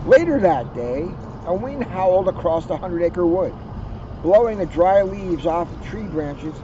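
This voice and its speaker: A man with a high voice reads a children's story aloud. He is recorded outdoors, slightly muffled, with clear noise behind him. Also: lively intonation, measured pace. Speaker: police officer reading a children's book.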